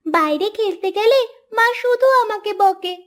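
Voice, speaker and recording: A woman's voice: very high-pitched, animated, normal speed, fairly bright tone. A woman voicing a pleading, insistent little daughter in a cartoon, childlike and whiny. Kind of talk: cartoon voice acting.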